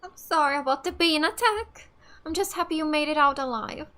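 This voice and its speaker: A woman's voice: high voice, brisk, pushed and bright. A woman from Finland giving casual, sympathetic let's play reactions in short, repeated phrases. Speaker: gaming streamer. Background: video game music.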